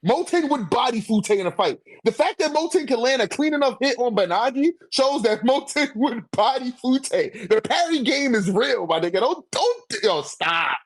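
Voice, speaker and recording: A man's voice: very high-pitched, lively intonation, normal speed, hardly any pauses, breathy edge, no echo. A man debating casually in profane slang, repeating his phrases for emphasis.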